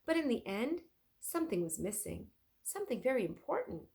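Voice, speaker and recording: A woman voicing an excitable, dreamy older male inventor and artist in a children's storybook, dramatic and emphatic. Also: fairly high pitch, a little fast, voice pushed up. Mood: earnest, dreamy. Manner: emphatic, exclaiming. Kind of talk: children's storybook read-aloud.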